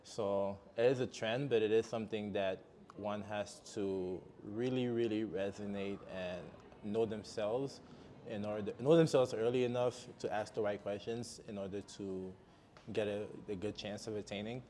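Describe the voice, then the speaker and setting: A man hosts a podcast in a fairly low voice, thinking aloud in winding sentences that keep pausing on "um". He talks casually with his guest. A faint hiss of background noise runs under the recording. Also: steady tone, warm tone. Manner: meandering, hesitant with "um" fillers. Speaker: podcast host. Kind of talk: casual interview chat.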